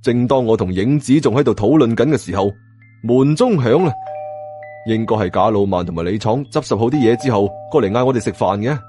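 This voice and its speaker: A man with a Cantonese accent narrating an audiobook in a dramatic, expressive storytelling style. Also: medium pitch, brisk. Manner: expressive, acts out dialogue. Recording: music bed behind narration. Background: background music.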